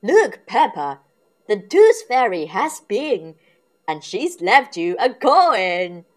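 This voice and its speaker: A jolly storybook father pig, booming and good-natured, taking his time, as a woman reads him aloud. His lines come out loud and lively. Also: slow pace. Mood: hearty.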